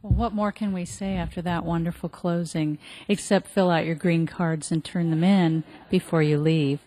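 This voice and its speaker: A woman giving brisk, friendly closing announcements at an event. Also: on the low side, fairly even intonation, warm tone, a little hiss or hum. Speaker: event organizer.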